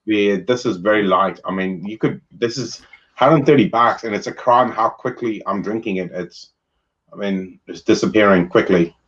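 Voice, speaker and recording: A man hosting a casual video-call chat about whisky, relaxed and chatty. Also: on the low side, moderate pace, dull audio. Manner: relaxed, chatty, uses fillers. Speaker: host.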